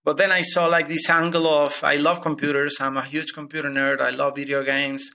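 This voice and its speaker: A man's voice: a little high, flat delivery, fairly quick, creaky, pushed and bright. A man in his thirties from Venezuela, a guest telling his money story with many hesitant restarts. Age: 30s. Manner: hesitant, many repeated starts. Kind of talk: personal finance interview.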